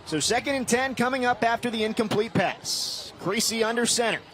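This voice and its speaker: A man's voice: extremely high pitch, moderate pace, a bit bright. A man calling live sports play-by-play in clipped, vivid phrases. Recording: noisy live game broadcast.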